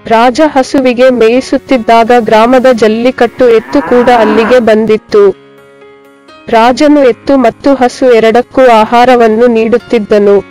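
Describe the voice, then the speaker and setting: A woman's voice: fairly high pitch, steady tone, measured pace, a little mellow, soft background music, rough recording. A woman narrating scripted moral tales for children.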